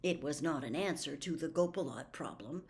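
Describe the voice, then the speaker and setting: A woman reading a novel aloud as expressive, scripted story narration. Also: low-pitched, moderate pace. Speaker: audiobook reader.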